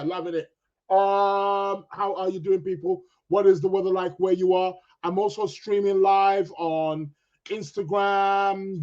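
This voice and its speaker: A chatty man hosts a live stream with a fairly high voice that sounds croaky and a little stuffed up. He sounds upbeat and greets his listeners one by one. The recording is dull and lo-fi. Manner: chatty, upbeat, gives viewers shout-outs. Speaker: podcast host.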